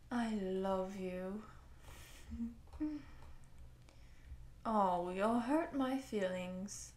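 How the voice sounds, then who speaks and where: A woman's voice: fairly high pitch, a little mellow. A woman voicing an obsessive, sadistic giant woman doting on a tiny captive, teasing and possessive in an intimate ASMR audio roleplay, often dropping toward a whisper. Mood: cooing affection.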